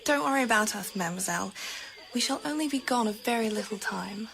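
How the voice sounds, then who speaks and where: A woman's voice: mid-range voice, lively intonation, fast, gravelly, fairly bright tone. An actress playing an eager, well-spoken boarding-school girl in a radio drama. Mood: eager, reassuring. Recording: noisy old radio recording.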